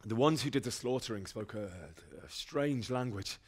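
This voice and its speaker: An actor playing an earnest, humble young blacksmith's son in a video game cutscene, hesitant and respectful. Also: male voice, mid-range voice, moderate pace, lightly acted. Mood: shaken, earnest.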